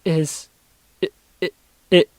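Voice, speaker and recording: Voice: takes it slowly, a little mellow. A video commentator talking casually in an exasperated, sarcastic way and swearing freely. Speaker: YouTube video essayist. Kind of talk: casual commentary with scripted narration.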